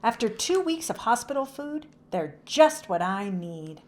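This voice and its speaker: A friendly young man from a storybook, voiced by a woman reader. He is kindly and gently wry. His lines move in a varied, lively pitch. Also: unhurried. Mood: pleased. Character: thin, tired, kindly joking young man.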